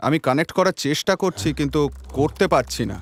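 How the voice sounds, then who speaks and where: A man's voice: a little high, fast, slight background noise, close to an everyday voice. A man voicing a sober, troubled police technical officer in a cartoon, explaining things matter-of-factly. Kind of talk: animated cartoon dialogue.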